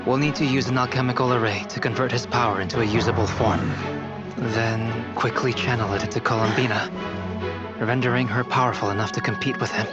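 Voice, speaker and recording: An actor playing a serious, analytical alchemist in a video game, speaking with precision. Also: male voice, mid-range voice, fairly even intonation, a little fast, close to an everyday voice. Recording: muffled audio under loud music.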